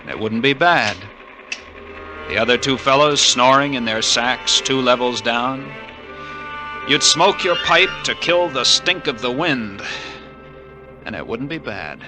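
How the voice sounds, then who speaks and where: A vintage radio recording with music behind a man playing an intense lighthouse keeper telling his tale. He speaks in vivid, emphatic strokes with a wry edge, as in a 1940s adventure drama, at a medium pitch. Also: lively intonation, a little slow, pauses often, lightly acted, low-quality recording. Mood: reflective.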